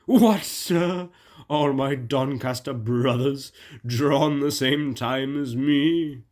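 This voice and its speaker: A man's voice: medium pitch, slow pace, darkish tone, close to an everyday voice. A man plays a proud steam locomotive from a storybook. He grumbles pompously and gets huffy. There is a gloomy, mournful weight to how he talks. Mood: mournful, anxious.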